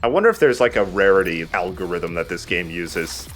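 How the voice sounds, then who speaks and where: A man's voice: deep voice, expressive, a little fast, a bit bright, faint music behind. A man giving casual gameplay commentary as a let's play host, with jokey, sweary banter. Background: video game sound.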